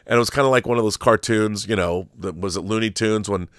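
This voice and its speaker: Man, middle-aged, lower-pitched, dry sound, opinionated conversational host, emphatic, digressive, unscripted film commentary. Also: fast talker, clean tone, no room sound.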